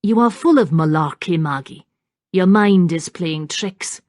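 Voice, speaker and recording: A commanding clansman in his prime, voiced by a female narrator for an audiobook. He sounds stern and protective, speaking low and firmly in a dry, close recording. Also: animated, a little slow, no echo. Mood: firm, calm. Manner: firm, sharp, authoritative. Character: stern, commanding male clan leader, protective, thirties-aged.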